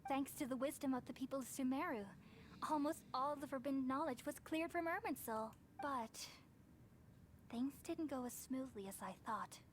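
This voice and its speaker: A woman voicing a gentle, wise, selfless goddess in a video game, speaking in a solemn and measured way. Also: high-pitched, background noise, natural voice. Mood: solemn, grave. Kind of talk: scripted video game voice acting. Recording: game cutscene with music behind.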